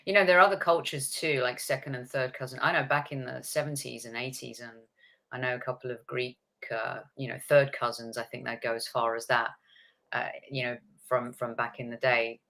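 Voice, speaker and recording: Woman, 50s, interview host, bright tone, long winding questions. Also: rumbling low, fairly even intonation, fairly quick.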